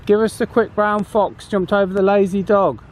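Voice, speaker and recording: A man's voice: high voice, steady tone, normal speed, very noisy. A man hosting a casual hands-on demonstration, chatting and thinking aloud as he goes. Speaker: host of radio repair channel.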